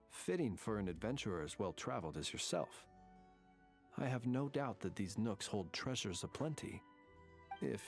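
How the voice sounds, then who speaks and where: Formal, solemn gentleman, over music. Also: medium pitch, gravelly. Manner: formal, ornate, measured phrasing. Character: courteous, solemn gentleman who lived alone in a cemetery. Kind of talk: scripted video game character dialogue. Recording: game music behind the voice.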